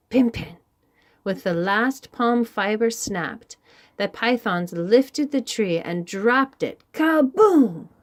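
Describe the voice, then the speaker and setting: Slow, animated woman storyteller. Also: mid-range voice. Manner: animated, deliberate, with sound words. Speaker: children's storybook reader. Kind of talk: expressive read-aloud storytelling for young children.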